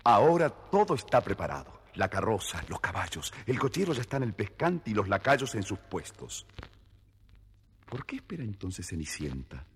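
A man's voice: sits low, breathy edge. A man narrating a scripted fairy tale expressively for young listeners. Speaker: storyteller, narrator. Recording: vintage story record with music behind.

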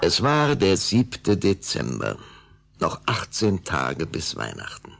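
A man narrating a children's radio play as a measured, dramatic storyteller. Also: low voice, huge pitch swings, gravelly, music in the background, heavily acted.

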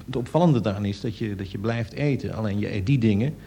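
Man, low gravelly mellow voice, hesitant expert explanation, slight background noise. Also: quick pace. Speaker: nutrition expert guest.

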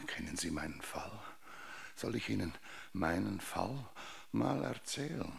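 A deranged old general in a radio drama. The voice is low and gravelly and moves slowly. He pleads and rambles with broken grandeur, demanding justice. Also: animated, heavily acted. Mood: bitter rambling. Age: older. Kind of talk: German radio drama acting.

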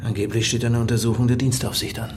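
A man playing a dry, sceptical police inspector in an audio drama, measured and pointed. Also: medium pitch, level tone, background noise, natural voice. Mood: grave. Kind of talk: German audio drama acting.

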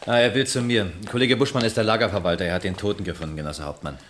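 A probing police lieutenant acted by a man in a 1960s radio crime play. His voice sits on the low side and he asks short, inquisitive questions. There is faint noise on the old recording. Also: fairly even intonation, rapid-fire, close to an everyday voice. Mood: matter-of-fact. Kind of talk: 1960s German radio crime drama acting.

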